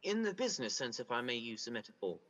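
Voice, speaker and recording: A man's voice: mid-range voice, very animated, fast talker, fairly bright tone, big-room sound. A man playing a proud, businesslike young man in a radio drama, formal and self-satisfied. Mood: self-assured, cool. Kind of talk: stage-style radio drama acting.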